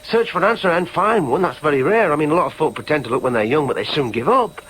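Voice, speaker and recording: An actor playing an earnest, needy grown son in a radio drama, questioning himself emphatically. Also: male voice, fairly high pitch, lively intonation, fast, fairly bright tone, slight background noise, low-quality recording. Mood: insistent, eager. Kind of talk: radio drama with inner monologue.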